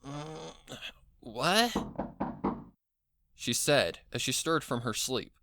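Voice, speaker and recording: An amateur narrator reading scripted fiction with expressive, measured storytelling. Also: mid-range voice, slight background noise.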